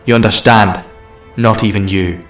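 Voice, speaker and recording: A man's voice: on the low side, steady tone, moderate pace, fairly bright tone, lightly acted. A man playing a defiant, imprisoned time-travelling alien hero in a fan audio drama, wry and resolute. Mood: angry, determined. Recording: echoey, long reverb, music underneath.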